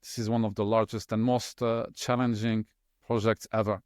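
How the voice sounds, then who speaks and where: A middle-aged man whose first language is French, speaking as an interview guest in a relaxed, hesitant way with many fillers and restarts. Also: on the low side, moderate pace, clear, resonant tone, no echo, studio quality. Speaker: interview guest, LNG specialist. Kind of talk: conversational interview.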